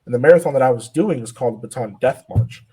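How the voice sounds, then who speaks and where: Husky young man, earnest and reflective. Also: mid-range voice, quick pace, mellow, poor audio. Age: 20s. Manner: earnest, reflective, many fillers and restarts. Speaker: guest powerlifter. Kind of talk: casual podcast interview.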